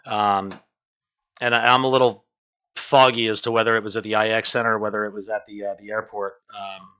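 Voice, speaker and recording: A man hosting a live breaking news stream casually, halting and thinking aloud, on dull lo-fi audio. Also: fairly low pitch, level tone, pushed and bright, clear, resonant tone.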